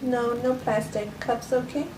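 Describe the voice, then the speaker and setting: A woman's voice: fairly high pitch, fairly even intonation, a little slow, mellow, lightly acted. A non-actor woman cold-reading the part of a wary, understated woman in a script table read. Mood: hesitant. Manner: hesitant, understated. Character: quiet, wary woman hosting a killer. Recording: distant, echoey room with clear noise.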